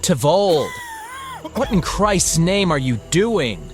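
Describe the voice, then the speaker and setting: A man's voice: high voice, sing-song, slow pace, soft background music, full character voice. A man playing an anguished, protective farmer with an urgent, exclamatory delivery in an audio drama. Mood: angry, shocked. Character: anguished, protective male farmer confronting a friend.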